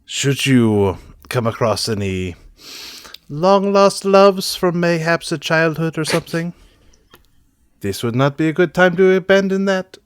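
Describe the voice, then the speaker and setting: A man's voice: fairly high pitch, expressive, unhurried, clear, resonant tone, no room sound, pitched above the performer's own voice. A man playing a lovesick young nobleman who poses as a humble dragon, speaking in a grandiose, courtly and melodramatic way in a tabletop game. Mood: dramatic, wounded.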